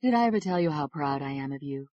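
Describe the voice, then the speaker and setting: A woman's voice: low-pitched, animated, fast talker, warm tone, highs cut off. A woman voicing a playful, supportive young wife who teases affectionately. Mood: teasing.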